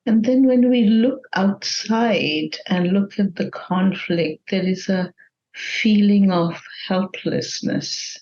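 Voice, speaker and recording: A woman leading a guided meditation in a gentle, measured manner with long pauses between phrases. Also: mid-range voice, muffled recording.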